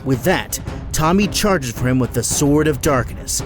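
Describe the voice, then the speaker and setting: A man's voice: medium pitch, expressive, unhurried, over music. A man narrating a scripted action audio drama in dramatic storytelling style.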